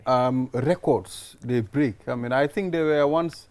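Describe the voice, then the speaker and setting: A man's voice: mid-range voice, measured pace, darkish tone. A man hosting a casual sports chat in a questioning way. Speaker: talk show host.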